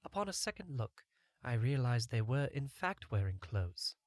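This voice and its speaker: A man's voice: mid-range voice, sing-song, normal speed, no room sound. A man reading a first-person fantasy adventure story as a scripted audiobook narration.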